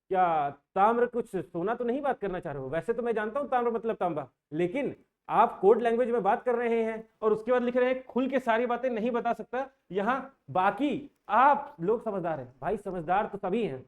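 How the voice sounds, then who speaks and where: Young guy, pretty high voice, earnest and argumentative, talking straight to viewers, drops english words in. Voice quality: Hindi with English words mixed in. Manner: earnest, argumentative, rhetorical questioning. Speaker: exam-aspirant community vlogger. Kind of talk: informal explanatory commentary addressed to viewers.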